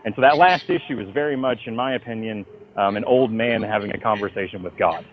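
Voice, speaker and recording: A man being interviewed by phone as a writer, talking in an informal, halting but emphatic storytelling way. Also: lowish voice. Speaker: interviewee, comic book writer. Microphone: phone call. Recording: dull lo-fi phone call.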